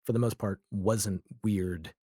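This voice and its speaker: A man's voice: lowish voice, steady tone, takes it slowly, mellow, slightly rough, dry recording, clean studio sound. A man speaking as a podcast guest in a casual, reflective interview conversation, explaining his thoughts with examples. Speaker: podcast guest, audio drama creator.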